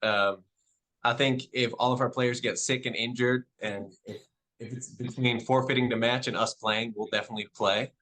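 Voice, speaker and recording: A Dutch-born man who speaks English with no accent as his second language. He is a padel club owner answering podcast questions in a measured, soft-spoken way, with frequent ums. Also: mid-range voice, fairly even intonation, low-quality recording. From Netherlands.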